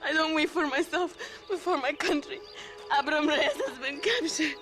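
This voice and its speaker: A woman's voice: high voice, moderate pace, a bit bright, soft background music. A woman playing a young Mexican peasant revolutionary in a video game, earnest, romantic and melodramatic, with a Mexican accent. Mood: tearful. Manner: melodramatic, fervent. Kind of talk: video game cutscene acting.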